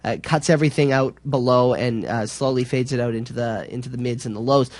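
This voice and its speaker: A man presenting a software tutorial in a casual, informal way, explaining step by step a little hesitantly. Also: medium pitch, fast.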